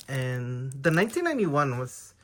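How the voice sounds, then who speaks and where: A man's voice: mid-range voice, big pitch swings, a little slow, a bit bright. A man whose first language is Tagalog talks casually through a pile of trading cards, often unsure of the details. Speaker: trading card collector. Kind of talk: casual show-and-tell of a card haul.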